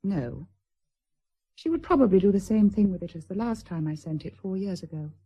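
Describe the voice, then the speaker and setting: An actress playing a proud, nervous, wounded estranged wife, middle-aged, with a British accent and a formal, hesitant manner in a period radio drama. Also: female voice, mid-range voice, moderate pace, slightly rough, lo-fi sound, close to an everyday voice. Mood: guarded, earnest.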